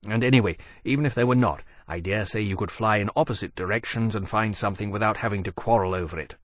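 A man's voice: fairly low pitch, fast talker, no room sound, clean studio sound, lightly acted. A man voicing an earnest, eager, somewhat bossy male dragon with formal, precise phrasing in an audiobook. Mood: scolding.

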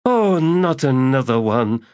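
A male narrator voicing a stern female high priestess who speaks sharply and curtly, in an audiobook. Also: sing-song, measured pace, no room sound, studio quality. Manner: sharp, curt, exasperated. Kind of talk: audiobook character voice.

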